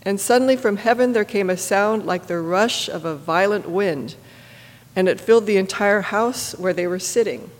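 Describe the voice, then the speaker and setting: An adult with a stuffy cold, reading scripture in a church in a steady, measured way. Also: mid-range voice. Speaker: scripture reader. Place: church sanctuary. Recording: echoey church from a distance.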